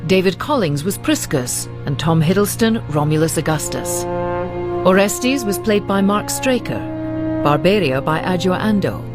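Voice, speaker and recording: Low-pitched woman radio announcer over music. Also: animated, normal speed. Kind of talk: scripted closing credits.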